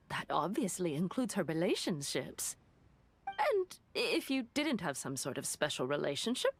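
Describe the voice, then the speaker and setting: A woman playing an eager, chatty young woman in a video game, expressive and polite with a gossipy, admiring manner. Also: on the high side, no room sound. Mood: gossipy, teasing. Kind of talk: scripted video game voice acting.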